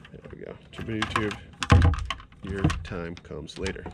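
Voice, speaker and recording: A man's voice: low-pitched, moderate pace, gravelly. A man in his thirties in a kayak on a lake, casually explaining a water test with fillers and thinking aloud. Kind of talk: casual outdoor demonstration. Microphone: action camera at arm's length. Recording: noisy outdoor camera audio.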